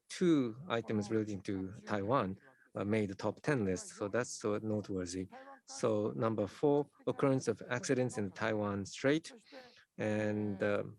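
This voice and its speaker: A man presenting survey results at a conference in a halting, formal way, full of hesitations. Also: on the low side, vocal fry. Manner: hesitant, heavy use of filler sounds.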